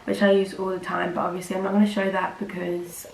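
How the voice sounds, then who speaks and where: A teenage girl, slightly croaky from a cold, rambling casually to camera with lots of fillers. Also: on the low side, monotone, quick pace. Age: teens. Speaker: vlogger. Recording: roomy with faint ambient noise.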